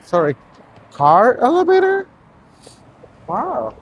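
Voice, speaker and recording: A man chatting casually as a guest on a walk along a city street, reacting with lively interest. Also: very high voice, slow, slight background noise. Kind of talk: casual chat.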